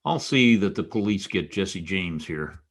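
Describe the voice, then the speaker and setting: An actor playing a wisecracking, world-weary private detective in a noir radio drama, wry and sardonic. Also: male voice, low voice, expressive, measured pace, full character voice. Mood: casual, wry. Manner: wry, sardonic, clipped tough talk. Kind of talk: old-time radio noir acting and first-person narration.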